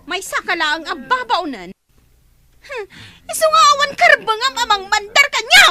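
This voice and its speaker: Bratty defiant Filipino daughter, Ilocano radio drama: sky-high, very sharp, insolent. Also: female voice, big pitch swings, moderate pace, exaggerated voice. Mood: defiant, scornful. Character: defiant, rude young adopted daughter.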